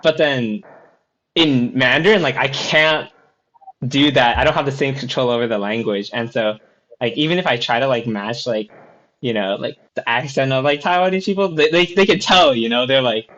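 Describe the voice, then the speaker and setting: Male podcast co-host, casual, halting and filler-heavy, mid-pitched, slightly lo-fi audio. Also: a bit bright. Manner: rambling, many fillers and restarts. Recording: slightly dull lo-fi sound.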